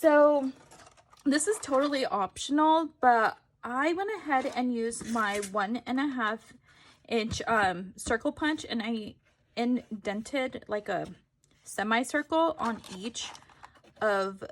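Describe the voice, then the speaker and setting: A woman's voice: a little high, slow. A woman giving a casual step-by-step craft tutorial, informal, correcting herself and thinking aloud as she goes.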